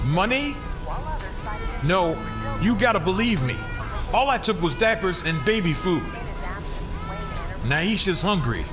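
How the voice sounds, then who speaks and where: A man playing a desperate but gentle petty thief and father in an audio drama, pleading in colloquial speech. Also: high-pitched, very animated, slow, pauses often, music in the background, exaggerated voice. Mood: frightened, pleading.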